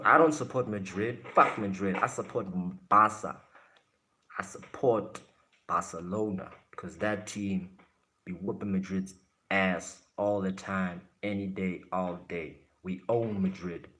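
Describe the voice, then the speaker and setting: Brash low-voiced streamer man. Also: unhurried, pushed and bright, slight background noise. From South Africa. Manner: blunt, brash, swears and trash-talks chat. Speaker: livestreamer. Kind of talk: casual livestream chat answering viewer comments.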